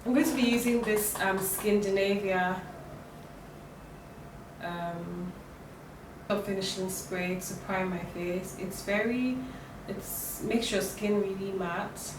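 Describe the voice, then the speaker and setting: A young woman with a croaky voice from a cold, chatting casually as she walks through a makeup tutorial, with lots of fillers and restarts. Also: medium pitch, slow pace, long pauses, over music. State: hoarse from a cold. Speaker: beauty vlogger. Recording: roomy, distant, noisy home recording.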